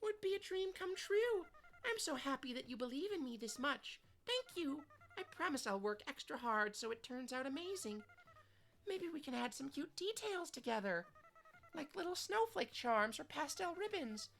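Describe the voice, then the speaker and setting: Woman doing a sweet high idol girl. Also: very animated, normal speed, creaky, full character voice. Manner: soft, sweet, bubbly. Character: sweet, eager young female pop idol.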